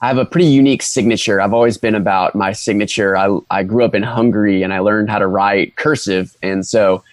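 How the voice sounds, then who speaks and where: Young adult male interview guest, lowish voice, relaxed, hesitant delivery, dry mic. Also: fairly quick, hardly any pauses, no echo. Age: 20s. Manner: relaxed, hesitant with fillers and restarts. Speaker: guest entrepreneur. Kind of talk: casual interview chat.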